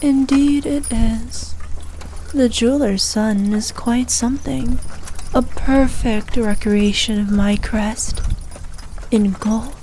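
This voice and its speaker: Evil queen played by a woman, regal, imperious, ruthless but doting, mellow tone, very unhurried, ASMR roleplay. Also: on the high side, steady tone, slight background noise, low-quality recording. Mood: pleased, indulgent. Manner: regal, imperious, measured and indulgent. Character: haughty, ruthless evil queen, doting on her favourite knight. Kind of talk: ASMR roleplay, scripted character acting.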